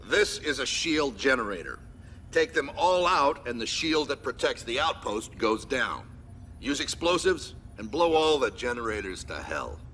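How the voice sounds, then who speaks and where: An actor playing a proud, stern military commander who speaks with solemn, emphatic authority in a video game. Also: male voice, mid-range voice, sing-song, a little slow, fairly bright tone, a little hiss or hum. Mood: urgent, commanding. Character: proud, stern male military commander briefing his elite squad. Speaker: commanding officer. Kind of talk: dramatic video game cutscene acting.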